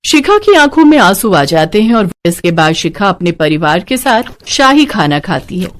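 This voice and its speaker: A woman's voice: medium pitch, sing-song, moderate pace. A woman narrator reading a scripted moral bedtime story.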